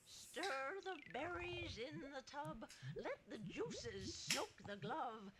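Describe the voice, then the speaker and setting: A woman's voice: high-pitched, sing-song, takes it slowly, over a noisy crowd, full character voice. A woman voicing a female villager in an old video game cutscene, chanting a rhyming recipe in a singsong way.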